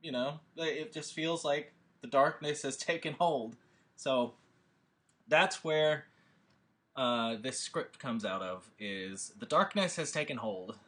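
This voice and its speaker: A man speaking informally to an audience as a host and writer, in a rambling, animated and emphatic way. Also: mid-range voice, takes it slowly. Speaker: host and scriptwriter introducing a table read.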